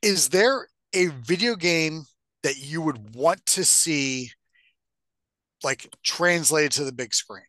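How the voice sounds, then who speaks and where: A man's voice: a little high, expressive, takes it slowly, pushed and bright, dry recording. A man co-hosting a podcast in casual, bantering conversation with a joking, sardonic manner. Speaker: podcast co-host. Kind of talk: casual bantering chat about games and films.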